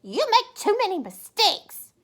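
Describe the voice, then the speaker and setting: A woman's voice: wildly swooping pitch, unhurried, fairly bright tone, exaggerated voice. A woman voicing a bossy, fussy bird wife in a children's storybook, speaking emphatically and complaining. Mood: cross, insistent. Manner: emphatic, high-pitched complaining. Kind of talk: children's storybook read-aloud.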